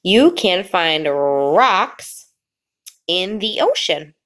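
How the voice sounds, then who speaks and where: A woman teacher reading a picture book aloud to children, pausing before key words and explaining the pictures. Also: on the low side, sing-song, fairly bright tone. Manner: slow, drawn-out reading with sing-song pauses before the last word.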